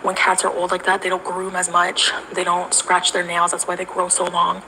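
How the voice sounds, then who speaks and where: Woman livestreamer, low-ish voice, rambling, profane, noisy stream audio with traffic behind. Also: monotone, normal speed, pushed and bright. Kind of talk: casual livestream chat.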